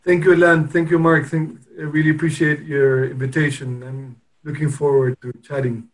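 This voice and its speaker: A man from Peru answers interview questions in a relaxed, conversational way. He searches for his words, pausing and restarting often as he recounts his background. His voice sits a little above the usual male range. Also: fairly high pitch. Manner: hesitant, with frequent restarts and pauses while recounting. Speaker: interview guest, company founder.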